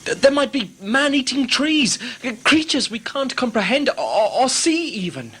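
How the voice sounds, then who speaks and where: A man playing a wary, sceptical expedition member in a sci-fi audio drama, with a husky voice. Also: very high voice, sing-song, moderate pace, sharp tone, full character voice. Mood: exasperated argument.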